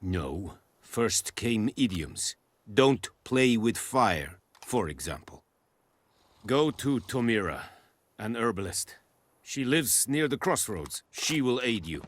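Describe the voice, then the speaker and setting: An actor playing a stern foreign army commander in a fantasy game, speaking formally in measured, clipped phrases. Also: male voice, on the low side, very animated, no room sound, heavily acted. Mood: grave, measured. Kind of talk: video game acting.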